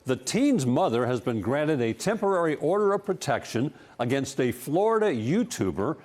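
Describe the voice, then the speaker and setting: A man's voice: on the high side, sing-song, normal speed, a little mellow. A man anchoring local television news in a scripted broadcast style.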